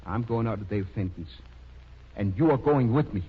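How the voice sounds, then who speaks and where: A muffled 1930s radio drama recording carries a severe country doctor. His voice is husky, and he speaks with reproach and firm moral authority. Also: on the low side, animated, normal speed. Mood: grim, stern. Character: stern, morally scolding male country doctor.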